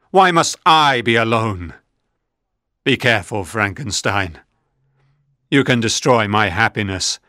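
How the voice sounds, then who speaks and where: A bitter, lonely monster from an audiobook story, as a man puts him on, dragging out each word. The voice sits fairly low and raised in force, recorded close and dry. The reader's own voice carries the creature. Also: bright, forceful tone, no room sound. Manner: slow, pleading turning to threatening. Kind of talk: audiobook reading.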